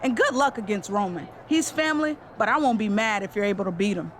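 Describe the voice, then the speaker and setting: A self-assured female wrestler voiced by a woman in a video game scene. She talks casually and dryly at a medium pitch, friendly underneath. Faint noise sits behind her. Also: expressive, fast, lightly acted. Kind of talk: video game cutscene acting.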